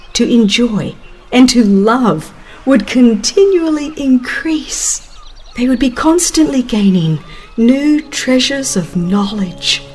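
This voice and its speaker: A woman reading a religious text as a dramatized audiobook, in a measured, reverent and expressive way, drawing out the ends of phrases. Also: on the high side. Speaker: audiobook narrator.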